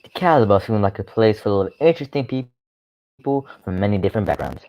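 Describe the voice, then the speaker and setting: Very low-voiced male radio announcer. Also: lively intonation, fairly quick, a little mellow, no echo, poor audio. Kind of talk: scripted radio announcer patter.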